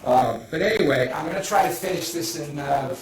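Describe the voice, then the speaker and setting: A man's voice: on the high side, a little fast, a little hiss or hum. An older man with a thick Boston accent, chatting loosely through a painting demonstration, full of fillers and restarts. His voice sounds somewhat distant from the mic. The room rings with echo. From Italy. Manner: rambling, with frequent fillers and restarts. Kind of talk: casual live demonstration talk. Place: art association meeting hall.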